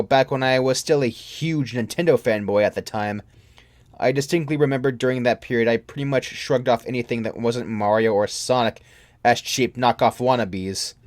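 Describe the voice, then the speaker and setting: A man's voice: mid-range voice, dry recording. A young man reading a scripted first-person story as a creepypasta narrator. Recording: music behind the voice. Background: music.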